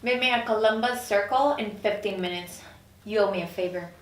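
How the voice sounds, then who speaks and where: A woman's voice: medium pitch, a little fast, a little hiss or hum, natural voice. An actress at a script table read playing an impatient, blunt, incredulous woman who is exasperated and desperate. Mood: wary. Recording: echoey room from far off.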